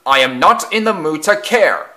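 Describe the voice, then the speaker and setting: A man's voice: a little high, animated, moderate pace, bright, forceful tone, pitched above the performer's own voice. A man voicing a stern, authoritative railway director in a children's story, speaking formally and commandingly. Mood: appalled.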